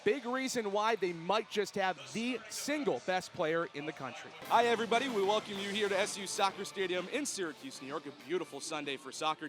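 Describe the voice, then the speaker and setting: A man's voice: high-pitched, a bit bright. A man in his early twenties announcing live sports with a polished, emphatic broadcast delivery. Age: early 20s. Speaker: sports play-by-play announcer and host. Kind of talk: live sports play-by-play and show openings.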